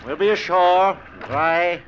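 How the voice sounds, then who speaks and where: An actor playing a steady, fatherly, commanding shipwrecked sea captain in an old-time radio drama. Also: high-pitched, slow pace. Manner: authoritative, fatherly, calm. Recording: muffled, noisy old radio recording.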